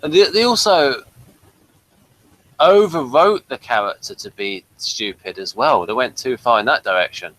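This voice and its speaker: A man's voice: mid-range voice, expressive, moderate pace, a bit bright, low-quality recording. A man hosting a casual review chat, talking conversationally. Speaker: reviewer and host.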